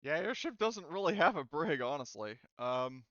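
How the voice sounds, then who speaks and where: A man running a tabletop game as game master, narrating casually in a halting, conversational way. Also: a little high, animated, fairly bright tone, dry recording, muffled recording.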